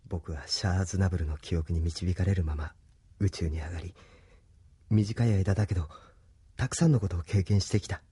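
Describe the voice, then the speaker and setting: A man's voice: deep voice, mellow, breathy edge. A man playing an earnest young resistance pilot in an anime audio drama, solemn and determined in delivery. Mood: tender, solemn. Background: background music.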